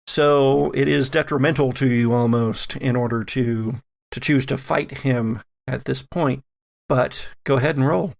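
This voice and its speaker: A man's voice: medium pitch, steady tone, measured pace, vocal fry. A man acting as game master, narrating a tabletop role-playing game dramatically with lingering, descriptive phrasing.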